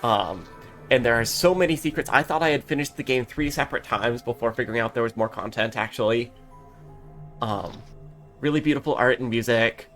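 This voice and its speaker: A man's voice: mid-range voice, fairly quick, a bit bright, faint music behind. A man co-hosting a podcast, explaining at length in casual chat with easy swearing. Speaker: podcast co-host.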